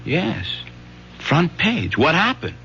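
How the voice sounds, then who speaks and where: A man's voice: a little high, wildly swooping pitch, slow pace, creaky, pushed and bright, husky, exaggerated voice. An actor playing an evasive, earnest teenage son who is loyal to his father, in a radio drama. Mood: uneasy. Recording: dull, faintly noisy old radio broadcast.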